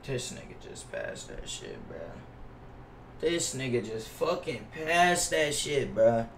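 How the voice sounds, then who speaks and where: Young male streamer, casual and complaining with lots of swearing; middle-pitched, roomy distant mic with background noise. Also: huge pitch swings, slow pace, vocal fry. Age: young adult. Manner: slangy, profane, complaining. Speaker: livestreamer playing a basketball video game. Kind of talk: casual live stream chat and gameplay reaction. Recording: roomy echo, off-mic, noisy with keyboard clicks.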